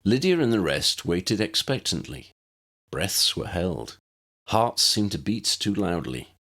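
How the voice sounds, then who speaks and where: A man's voice: low-pitched, sing-song, slow, fairly bright tone. A man reading scripted story narration as an audiobook narrator.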